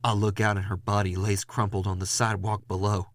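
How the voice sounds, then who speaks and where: A man playing a weary, hard-boiled ghost hunter in a noir horror audio drama, gravelly, brooding and confessional. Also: lowish voice, little pitch movement, a little fast, no echo, natural voice. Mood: grim, intense. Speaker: narrator and lead character.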